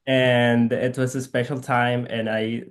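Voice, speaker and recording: A man from Guatemala with a Guatemalan Spanish accent, whose first language is K'iche', speaking haltingly as an interview guest and searching for words. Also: medium pitch, level tone. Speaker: guest, physical therapist missionary. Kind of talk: conversational interview.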